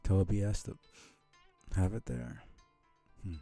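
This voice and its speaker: A man streaming a casual read-aloud of a comic, with halting, muttered asides. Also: deep voice, lively intonation, mellow, soft background music. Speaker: streamer reading a webcomic aloud.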